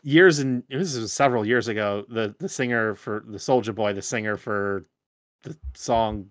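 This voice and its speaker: A man in casual podcast chat, explaining things in a halting, searching way. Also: fairly low pitch, dry recording, studio quality. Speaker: podcast regular.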